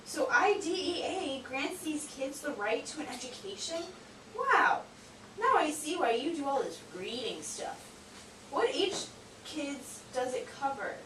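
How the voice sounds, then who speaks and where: A woman voicing a cheeky, naive, excitable sock puppet pupil in an amateur educational puppet show, with exaggerated, teasing delivery. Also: sits high, a little slow. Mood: amazed, excited. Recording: echoey room, distant mic, hissy noise.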